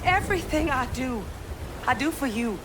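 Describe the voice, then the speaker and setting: A woman's voice: high voice, expressive, moderate pace, background noise. A woman playing a stern, weary, devoted mother with an American Southern accent, firm and scolding, in a cinematic video game. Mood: scolding, hurt.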